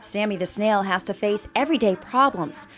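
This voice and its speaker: A woman's voice: mid-range voice, normal speed, a bit bright, soft background music. A woman delivering a scripted local news report as a field reporter.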